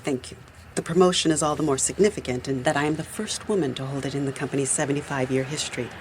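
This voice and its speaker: Formal, anxious businesswoman, low-voiced. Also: steady tone, fast, noisy background. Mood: guarded, formal. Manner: formal, precise. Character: proud, anxious businesswoman confiding in a detective. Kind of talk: radio drama acting.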